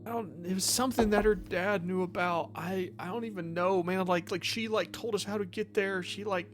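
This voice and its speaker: A man's voice: high voice, steady tone, a bit bright, voice pushed up. A man voicing a guilty, distraught young man in a tabletop game, stammering and swearing through a confession. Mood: frightened, defensive. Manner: halting, stammering, slangy and sweary.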